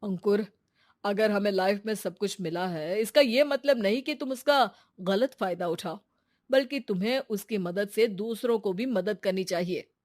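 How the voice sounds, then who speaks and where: A woman's voice: medium pitch, normal speed, a little mellow, natural voice. A woman voicing a concerned elder brother in a cartoon moral story, earnest and moralizing. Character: responsible, concerned elder brother, patiently reproving.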